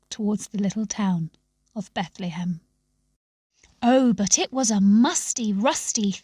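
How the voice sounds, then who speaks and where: Female storybook narrator, fairly high voice, close dry sound, expressive and measured delivery. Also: no echo. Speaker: story reader.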